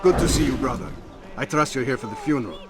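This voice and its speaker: A man playing a brotherly medieval assassin scout in a video game, with a husky voice. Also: mid-range voice, quick pace, faint music behind, close to an everyday voice. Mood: helpful, conspiratorial.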